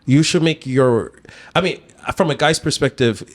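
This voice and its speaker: A man's voice: mid-range voice, moderate pace. A man in a casual podcast chat, talking in a laid-back, rambling way with lots of fillers, in an indoor office studio. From Ghana. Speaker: podcast guest.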